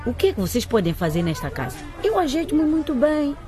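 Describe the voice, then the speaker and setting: A woman playing an expressive, exclaiming housewife and mother in a radio drama. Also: high-pitched, brisk, music in the background. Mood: puzzled, hesitant. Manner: expressive, exclaiming, repeats herself. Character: exasperated, big-hearted housewife and mother.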